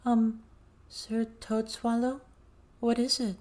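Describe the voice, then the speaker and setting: A woman's voice: fairly high pitch, flat delivery, takes it slowly, darkish tone. A woman playing a worried, hesitant teenage girl in an audiobook. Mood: anxious, hesitant. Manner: hesitant, anxious.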